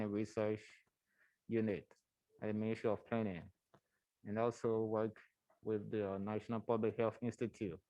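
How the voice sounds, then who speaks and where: Smooth, even-voiced Liberian man. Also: fairly low pitch, dry recording. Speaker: health official, field epidemiologist. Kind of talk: meeting self-introduction. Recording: slightly dull meeting audio.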